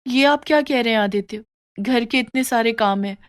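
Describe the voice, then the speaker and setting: A woman's voice: on the high side, normal speed, lightly acted. A woman voicing an overworked young wife in a moral story, plaintive and polite. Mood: gentle, concerned. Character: overworked young daughter-in-law, weary but dutiful wife. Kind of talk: moral story character dialogue.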